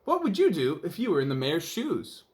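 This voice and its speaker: A man's voice: fairly high pitch, wildly swooping pitch, normal speed, darkish tone, pitched above the performer's own voice. A man voicing a nosy, quippy town reporter in a children's storybook, prodding and emphatic.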